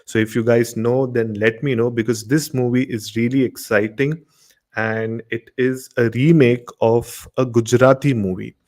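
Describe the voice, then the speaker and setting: A man's voice: medium pitch, fairly even intonation, moderate pace, a little mellow. A man with an Indian accent informally hosting a horror show and telling stories, often repeating words and phrases. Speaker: host of a horror radio show.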